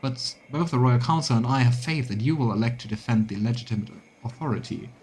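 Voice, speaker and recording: A man's voice: medium pitch, quick pace, a little mellow, close to an everyday voice, poor audio. Man voicing a formal, pleading noblewoman courtier. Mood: grave, formal. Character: haughty noblewoman courtier turned pleading and devoted. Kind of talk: reading video game dialogue aloud.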